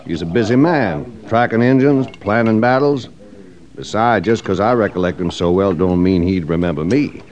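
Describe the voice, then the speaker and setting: A man's voice: lowish voice, moderate pace, darkish tone, lightly acted. An American man acting a folksy, humble veteran cavalryman in a 1950s radio western, with rustic, modest speech. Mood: humble, proud. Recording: dull vintage radio recording.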